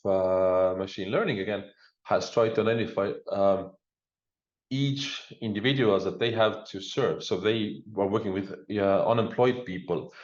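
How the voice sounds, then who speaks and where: A man's voice: lowish voice, roomy sound, muffled recording. A man with an Estonian accent giving a conversational conference presentation remotely, peppering it with informal fillers like "look" and "you know". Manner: informal, uses frequent discourse markers like "look" and "you know". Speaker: guest speaker, digital government expert. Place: speaking remotely over a video link.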